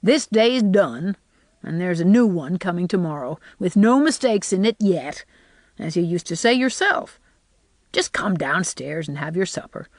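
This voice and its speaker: Woman reader as a stern but kind older guardian, brisk, dry close mic. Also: fairly low pitch, sing-song, dry recording. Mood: brisk gentle. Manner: brisk, no-nonsense, gentle underneath. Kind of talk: storybook character reading.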